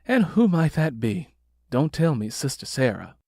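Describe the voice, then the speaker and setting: A man's voice: on the high side, very animated, measured pace, mellow, no room sound, studio quality, exaggerated voice. A man voicing an outspoken, protective grown daughter in an audiobook, speaking in a direct, emphatic way. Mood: probing.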